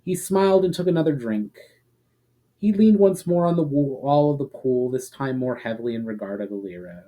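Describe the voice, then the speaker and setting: A man narrating a fantasy story as an expressive, dramatic reader. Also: mid-range voice, moderate pace. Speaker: narrator and author.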